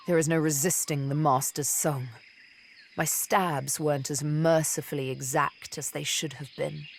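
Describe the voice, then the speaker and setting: A woman playing a seductive, vengeful elven assassin and former slave in a video game, speaking in a deliberate, theatrical, teasing manner. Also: low-pitched, slight background noise. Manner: deliberate, teasing, dramatic storytelling with pauses. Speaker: video game companion character.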